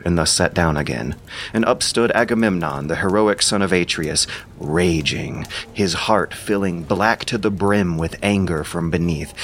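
A man narrating an epic audio drama in a solemn, measured, dramatic manner. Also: sits low, fairly bright tone, slight background noise.

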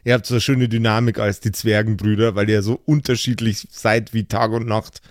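A man narrating an improvised fantasy tale as game master, in a measured, descriptive and savouring manner. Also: on the low side, level tone, no room sound, studio quality.

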